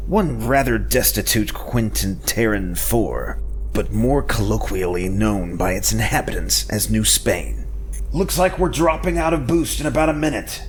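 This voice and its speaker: A man's voice: on the low side, measured pace, a little hiss or hum, lightly acted. A man playing a roguish space smuggler in a scripted sci-fi audio drama, wry, defiant and sardonic. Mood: solemn, reporting.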